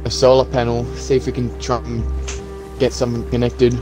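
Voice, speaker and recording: A man playing a practical, hopeful zombie apocalypse survivor in an improvised game roleplay, talking in a halting, conversational way. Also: medium pitch, level tone, over music, lightly acted. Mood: practical, planning.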